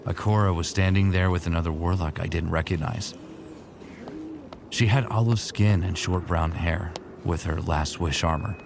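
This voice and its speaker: Deep, gravelly man playing a brooding space soldier. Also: fairly even intonation, normal speed, background noise. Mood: reflective. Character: weary, remorseful male Titan space soldier and narrator. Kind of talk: first-person dramatic narration and dialogue, sci-fi audio drama.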